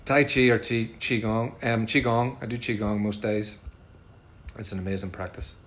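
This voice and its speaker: Northern Irish musician, middle-aged man, laid-back fan Q&A, slightly low voice. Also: normal speed. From Northern Ireland. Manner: relaxed, chatty, frequent ums. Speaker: musician answering fan questions. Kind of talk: casual livestream Q&A.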